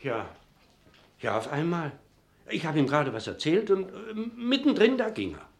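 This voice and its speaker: A meek husband in a German radio drama. His fairly high male voice moves restlessly in pitch, and he hesitates, stammers and repeats himself as he gives in to whoever is talking. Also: big pitch swings, moderate pace, creaky. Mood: defensive, apologetic. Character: meek, henpecked, wavering husband who agrees with everyone.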